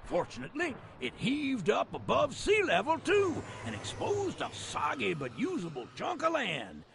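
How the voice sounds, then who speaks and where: An actor playing a folksy, cheerful old-timer with a rural Western American accent, narrating a video game cutscene in a gravelly, drawling storyteller's way. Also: male voice, very high voice, huge pitch swings, normal speed, noisy background, heavily acted. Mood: dramatic, wry. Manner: colloquial drawling storyteller, exaggerated.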